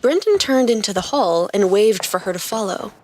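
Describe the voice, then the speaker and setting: A woman's voice: medium pitch, expressive, moderate pace, darkish tone, slight background noise. A woman reading scripted fiction aloud as the narrator of a story.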